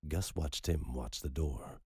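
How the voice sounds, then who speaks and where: A man narrating a dark story in a slow, brooding, measured way. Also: rumbling low, breathy edge, no room sound, studio quality.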